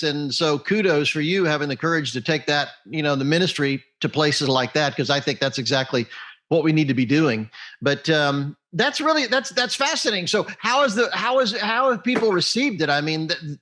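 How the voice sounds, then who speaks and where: A man's voice: fairly high pitch, normal speed, fairly bright tone. An American man hosting a casual interview, chatty and encouraging as he shares his own remarks and asks questions. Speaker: interviewer, host.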